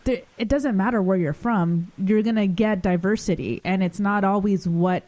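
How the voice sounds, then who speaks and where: A woman from Peru, raised in California, hosting a podcast and telling stories in an animated way. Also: mid-range voice. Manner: animated storytelling, quick restarts. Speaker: podcast host. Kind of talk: casual chat.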